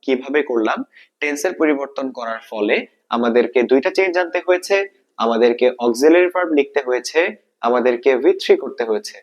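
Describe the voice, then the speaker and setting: A man's voice: medium pitch, brisk, darkish tone. A man with a Bangladeshi accent teaching English grammar step by step, often repeating points and posing questions he then answers. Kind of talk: explanatory exam-prep lesson, step by step.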